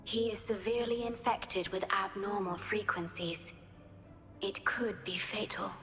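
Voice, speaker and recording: A woman voicing a serene, enigmatic video game guardian, speaking calmly and formally in a soft, breathy voice. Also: medium pitch, a little slow. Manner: calm, formal. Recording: game music behind the voice. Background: game music.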